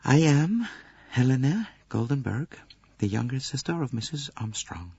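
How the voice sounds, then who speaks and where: A man's voice: medium pitch, sing-song, normal speed, no echo, dull audio, voice pushed up, full character voice. A man voicing an earnest, passionate young American countess in an audiobook, with a composed, incisive tone. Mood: composed, firm. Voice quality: rich, clear-cut, incisive tone. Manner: earnest, quietly emotional.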